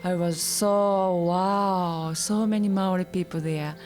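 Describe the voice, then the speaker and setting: A Japanese woman, a native Japanese speaker, tells her migration story in simple, halting English and laughs often. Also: fairly low pitch, fairly even intonation, darkish tone, a little hiss or hum. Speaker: interviewee. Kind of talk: personal story, monologue.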